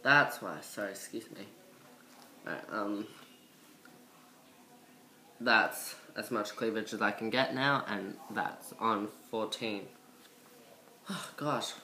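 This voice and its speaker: Casting: young female vlogger, masculine-register voice with bright edge, slight cold, casual rambling delivery, faintly noisy home recording. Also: slow, pauses often. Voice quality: low, masculine-sounding voice. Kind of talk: casual chat to camera, transition update. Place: indoor room by a window. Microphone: built-in device mic at arm's length.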